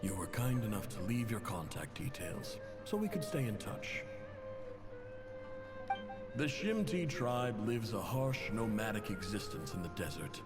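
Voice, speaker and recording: Proud desert chief, echoing, expressive. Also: male voice, on the low side. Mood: formal, courteous. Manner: formal, measured, courteous. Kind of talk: dramatic video game letter narration. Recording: long reverb, music behind.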